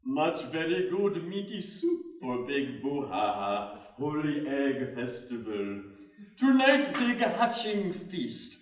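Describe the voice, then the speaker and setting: A man's voice: a little high, very animated, slow. A man playing a pompous, boastful cannibal witch doctor speaking broken English in a live play reading. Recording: echoey hall, far from mic.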